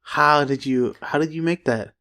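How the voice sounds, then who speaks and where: A man's voice: on the high side, normal speed, bright, forceful tone. A young man co-hosting a podcast, chatting in a loose, rambling way with plenty of swearing. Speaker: podcast host. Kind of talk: casual chat.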